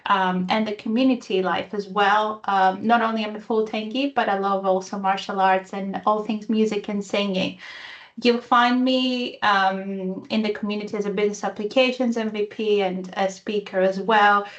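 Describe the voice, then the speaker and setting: A woman's voice: medium pitch, steady tone, moderate pace, highs cut off. A woman with a Greek-Italian accent, presenting at a virtual user group in an enthusiastic, chatty way with many fillers, over a video call. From Greece and Italy. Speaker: guest speaker.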